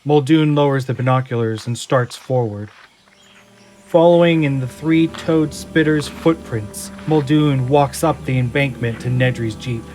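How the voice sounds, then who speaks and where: A man's voice: medium pitch, fairly even intonation, a little slow, darkish tone. A man reading scripted dramatic narration for an audio drama in a suspenseful storytelling manner. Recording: music and effects behind narration.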